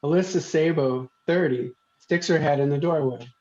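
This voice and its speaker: A man's voice: a little high, normal speed, darkish tone. Dull-sounding man reading stage directions. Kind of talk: plain script narration.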